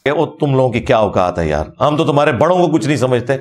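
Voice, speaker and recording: A man in his forties with a Pakistani Punjabi accent and a husky voice, giving a blunt, taunting, combative religious lecture. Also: mid-range voice, sing-song, fast talker. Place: indoor lecture set.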